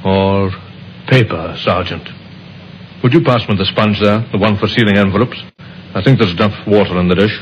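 An actor playing a shrewd, stern police inspector in an old-time radio mystery, with a dry, measured, insinuating manner. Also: male voice, fairly low pitch, natural voice. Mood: quietly triumphant. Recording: muffled, noisy vintage radio recording.

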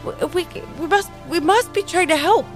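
A woman's voice: very high voice, normal speed, soft background music. A woman playing a flustered time-travelling agent in an improvised audio drama, stammering and pleading as she repeats herself. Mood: desperate pleading.

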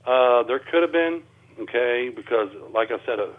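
A man's voice: medium pitch, moderate pace. A man talking as an interview guest over a thin phone line, explaining things in a casual, plainspoken, folksy way. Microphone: telephone.

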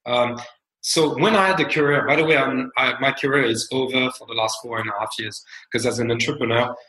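A man in his forties from France with a French accent, talking as a founder guest in an interview in a measured, reflective way. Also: medium pitch, bright, forceful tone, roomy sound, low-quality recording. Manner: measured, reflective, many fillers and restarts. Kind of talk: interview conversation.

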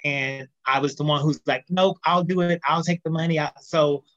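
A man's voice: on the high side, moderate pace. Storytelling man, a bit muffled. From United States. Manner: animated storyteller, acts out quotes. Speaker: podcast guest, entrepreneur. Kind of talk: casual interview talk.

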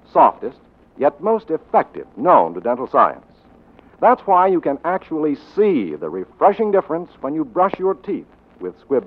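An American man announcing and reading sponsor copy in a formal, emphatic 1940s radio style. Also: on the high side. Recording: muffled 1940s radio broadcast with faint music.

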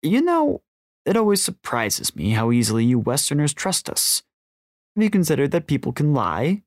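Smug older male criminal played by a male narrator, audiobook thriller: slow, polite, coldly condescending, dry sound. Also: a little high, wildly swooping pitch, no room sound, voice pushed up. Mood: coldly menacing.

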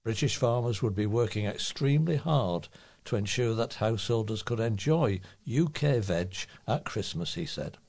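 A man's voice: on the low side, expressive, normal speed, gravelly, a little mellow. A man reading a local news report aloud as a volunteer newsreader.

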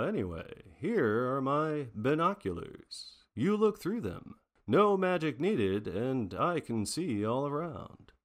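An actor playing a wry 1940s private detective, narrating his cases in a hard-boiled, theatrical radio drama style. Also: on the high side. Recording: long reverb, music underneath.

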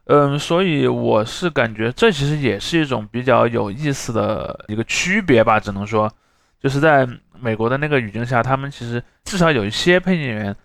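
A man talks casually as a podcast host, laying out examples as he goes. His pitch sits in the middle and his pace is brisk. The sound is dry, with very little room in it. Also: animated, vocal fry, a bit bright, dry recording. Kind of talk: casual chat, explaining with examples.

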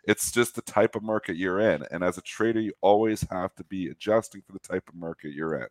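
A man's voice: low voice, fairly quick, no echo. A man from Canada co-hosting a trading show, giving opinionated market commentary in a nasal voice, congested from a cold. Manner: opinionated, talks at length.

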